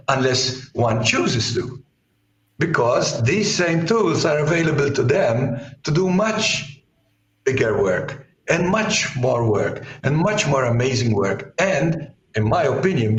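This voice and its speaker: A man's voice: mid-range voice, sing-song, a little slow. A man in his sixties with a partly lost voice, answering conversationally as an interview guest and often repeating words as he explains. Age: 60s. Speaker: guest, author and executive coach. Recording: roomy, echoey sound.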